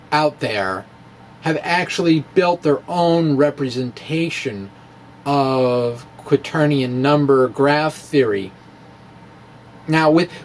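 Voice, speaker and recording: A man giving an informal, ad-libbed math demonstration in a halting, rambling, jokey way, as the presenter of a science explainer. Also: fairly high pitch. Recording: clearly noisy recording with room ambience.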